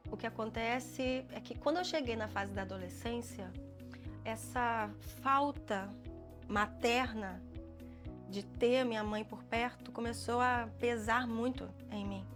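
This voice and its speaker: A woman in her forties with a Brazilian Portuguese accent, a gospel singer sharing her testimony in an earnest, reflective way. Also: on the high side. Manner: soft-spoken, earnest, reflective. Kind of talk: personal testimony in an interview.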